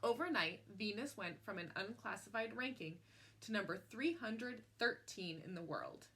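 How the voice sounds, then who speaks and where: Bright-voiced woman reading a story aloud. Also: medium pitch, animated, normal speed, slightly rough. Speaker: picture book reader. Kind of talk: expressive read-aloud storytelling.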